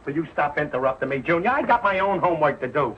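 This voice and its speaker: A man's voice: fairly high pitch, big pitch swings, quick pace. An actor playing a bumbling, exasperated middle-aged working-class father in a 1940s television sitcom. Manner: blustering, ungrammatical, hesitant when cornered. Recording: muffled, noisy old television soundtrack.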